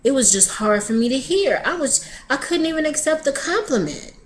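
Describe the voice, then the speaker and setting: A woman's voice: high voice, very animated, normal speed, a little hiss or hum. A woman in her thirties sharing a candid personal video diary, hesitant and often restarting her sentences. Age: 30s. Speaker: vlogger.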